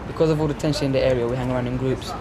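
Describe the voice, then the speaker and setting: A man's voice: medium pitch, steady tone, racing, a crowd in the background. A young man with a London accent, narrating a documentary as its presenter.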